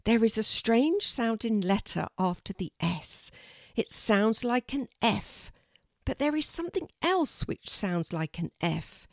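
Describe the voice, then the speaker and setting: Woman reads as eager young girl hero, pitched up high, excitable, dry close sound. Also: unhurried, no echo. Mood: worried. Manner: bright, eager and exclaiming.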